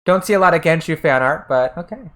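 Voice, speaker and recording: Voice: mid-range voice, lively intonation, fast, a bit bright. An American game streamer chatting casually while playing, often trailing off and restarting sentences while answering chat. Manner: answers chat messages between gameplay.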